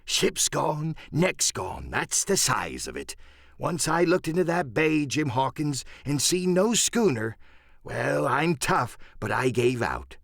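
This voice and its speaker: A cunning old pirate sea cook for an audiobook. His pitch leaps and plunges through rustic sailor talk, blustering one moment and wheedling the next. The sound is close and dry. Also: sing-song, takes it slowly, vocal fry, a bit bright, dry recording, exaggerated voice. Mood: urgent, conspiratorial. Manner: rustic sailor speech, emphatic and wheedling by turns. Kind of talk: dramatic audiobook character acting.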